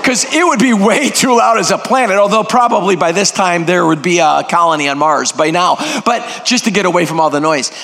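An older man preaches with a noisy, energetic delivery, cracking jokes between points. His voice sits high for a man. It rings with the long reverb of a big church hall. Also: a little fast, gravelly, hardly any pauses. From Greek heritage. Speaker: guest preacher. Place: large church sanctuary.

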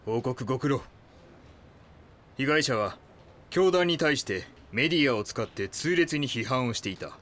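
An actor playing a cautious, authoritative police chief in an audio drama, formal and commanding. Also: male voice, medium pitch, expressive, moderate pace, long pauses, fairly bright tone, slight background noise, lightly acted.

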